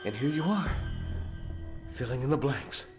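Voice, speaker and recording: An actor playing a knowing, menacing insider who speaks in a slow, taunting, theatrical way in a video game cutscene. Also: male voice, medium pitch, expressive, lightly acted. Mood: accusing, knowing. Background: loud dramatic music.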